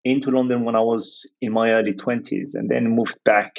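A man's voice: mid-range voice, fairly even intonation, normal speed, vocal fry, dull audio. A man from France, talking in a reflective, hesitant way as a podcast guest, with many restarts and fillers. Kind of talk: reflective conversational interview.

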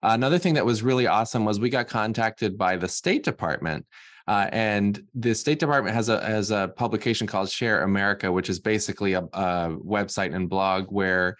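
A man's voice: lowish voice, brisk, a bit bright, dry recording, studio quality. A man from Massachusetts speaking earnestly as a library guest, thinking aloud with frequent restarts. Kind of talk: casual panel talk.

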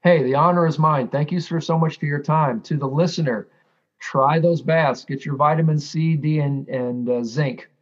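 A man speaking as a podcast guest, signing off in a casual and slightly halting way. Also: a little high. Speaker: departing guest. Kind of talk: casual interview chat. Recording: dull lo-fi remote audio.

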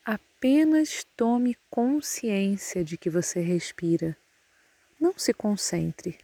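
A Brazilian woman guides a meditation as a yoga nidra instructor. Her voice is dark and relaxed, and she speaks very slowly, leaving long, soothing pauses. The sound is dry and close, with little room around it. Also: medium pitch, no room sound. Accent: Brazilian Portuguese.

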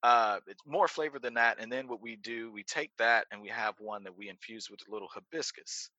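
A man's voice: mid-range voice, fairly quick, bright, forceful tone, no room sound, dull audio. A man, a brewery co-founder talking casually in an interview with many restarts. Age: 30s. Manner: rambling, many restarts, laughs easily.

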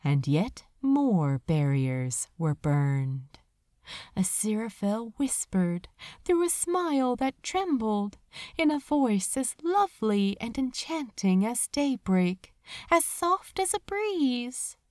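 Female story narrator, fairly high voice, emotive dramatic reading, unhurried phrasing, very dry close recording. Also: very animated, darkish tone, dry recording. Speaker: audiobook-style reader of fan fiction.

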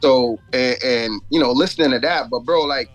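A man's voice: medium pitch, normal speed, soft background music. A man from Jamaica and Florida chatting casually as a rapper guest in an interview, telling stories in slangy talk with frequent asides. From born in Jamaica, grew up in Florida.

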